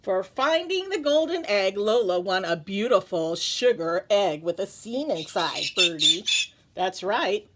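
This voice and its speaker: A woman teacher reading a children's picture book aloud in an animated way, with playful, chatty asides and questions to her pet bird. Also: medium pitch, a little slow.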